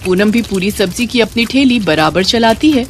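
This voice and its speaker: A woman narrating a cartoon story in an expressive, lilting storytelling manner. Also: medium pitch, moderate pace, a little hiss or hum.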